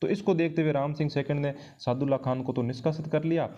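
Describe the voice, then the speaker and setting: A man's voice: on the high side, steady tone, quick pace, warm tone. A man teaching an exam-prep lesson, explaining and repeating key phrases for emphasis, recorded on a dull lo-fi microphone. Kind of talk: history lesson going through quiz questions.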